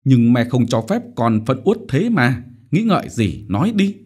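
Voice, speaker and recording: Guy putting on a fussy worried mom voice, coaxing and soft, well above his normal pitch, lots of lilt. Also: sing-song, moderate pace, breathy edge. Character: doting, fretful middle-aged mother.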